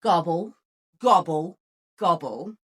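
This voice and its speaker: A woman hosting an expressive read-aloud for young children, with dramatic, playful emphasis. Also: on the low side, takes it slowly, breathy edge. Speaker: storyteller and host for young children.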